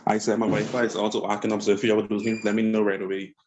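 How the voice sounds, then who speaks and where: A man in his twenties introducing himself on a video call, plain and slightly halting. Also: lowish voice, level tone, lo-fi sound, heavy background noise. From Bahamas. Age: 20s. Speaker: player.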